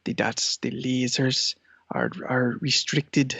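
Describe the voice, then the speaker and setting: A scheming, self-important cat acted by a man speaks in a monotone, choosing each word with halting pomp. It is an improvised comedy interview on a dry, slightly muffled recording. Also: medium pitch, creaky, no echo, heavily acted. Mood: pompous, scheming. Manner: halting, pompous, deliberate.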